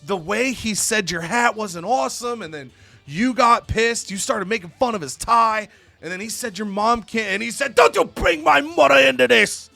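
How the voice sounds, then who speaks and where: A man's voice: sits high, moderate pace, gravelly, pushed and bright, no room sound, faint music behind, pitched above the performer's own voice, full character voice. A man voicing an exasperated woman in a wrestling story, insistent and egging someone on.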